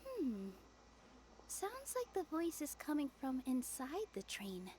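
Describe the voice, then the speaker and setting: A woman voicing a gentle, polite young female dancer in a video game. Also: high voice, wildly swooping pitch, slow, a little hiss or hum, exaggerated voice. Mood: curious.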